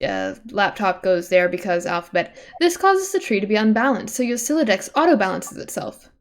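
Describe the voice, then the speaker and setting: A woman's voice: medium pitch, sing-song, fairly quick. A woman reading a webcomic aloud on a livestream in an informal way, breaking off for casual asides and self-corrections.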